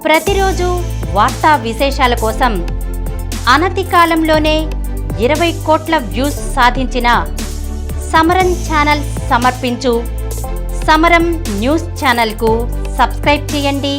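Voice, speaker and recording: A woman's voice: high-pitched, unhurried, pauses often. A woman delivering a scripted channel promo announcement. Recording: music bed under the voice. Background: music.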